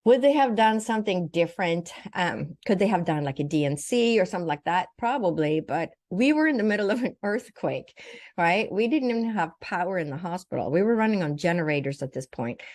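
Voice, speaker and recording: Older woman, from Sweden, slightly low voice, dry close sound, vivid personal storytelling, interview guest. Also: expressive, fairly quick, a little mellow, dry recording. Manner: vivid, detailed telling with acted-out quotes. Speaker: guest, naturopathic doctor and spiritual teacher.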